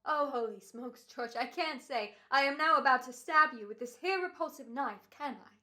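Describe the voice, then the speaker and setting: A woman's voice: high voice, lively intonation, moderate pace, sharp tone. A woman playing a flippant, slangy radio actor who hams it up in an old-time comedy radio play.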